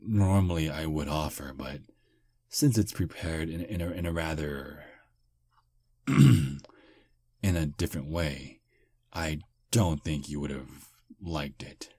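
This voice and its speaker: A man voicing a charming, polite male vampire on a first date, speaking softly and flatteringly in an ASMR roleplay. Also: very deep voice, takes it slowly, long pauses, breathy edge, dry recording, clean studio sound, exaggerated voice. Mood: smooth flirting. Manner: soft, flattering, gentlemanly. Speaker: voice actor.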